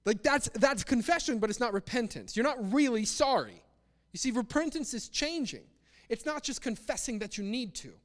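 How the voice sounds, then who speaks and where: High-pitched male preacher in a reverberant hall. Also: sing-song, moderate pace. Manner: conversational, emphatic, repeats phrases for stress. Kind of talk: sermon with acted-out example quotes.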